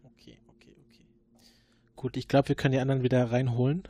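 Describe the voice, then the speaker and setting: Guy playing a stiff formal investigator, halting with lots of um's, dry sound, improv mystery game. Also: medium pitch, little pitch movement, warm tone, dry recording, lightly acted. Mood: probing. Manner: halting and formal, many fillers, probing questions. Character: formal male time-travelling agent investigator. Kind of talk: improvised murder-mystery roleplay.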